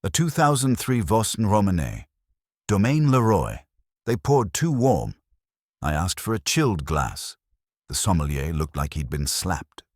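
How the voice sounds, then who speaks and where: Guy doing a snooty lady wine critic for an audiobook, cool n clipped, indignant, low husky voice. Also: very animated, unhurried, no room sound, clean studio sound, full character voice. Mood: haughty.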